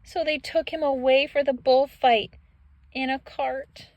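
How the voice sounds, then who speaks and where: A woman reading a children's story aloud theatrically, with expressive, dramatic emphasis. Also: sits high, unhurried, no echo, dull audio.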